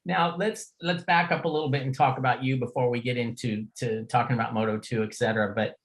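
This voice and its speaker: A man's voice: mid-range voice, fairly even intonation, fast talker. A middle-aged man hosting a casual conversational interview in an informal, chatty, plain-spoken way. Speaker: podcast host.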